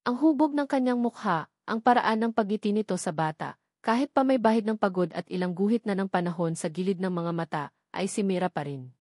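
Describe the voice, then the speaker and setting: A woman's voice: mid-range voice, fairly quick, a little mellow, no echo. A woman with a Filipino accent reading a dramatic scripted story as an audiobook narrator, in a dry recording.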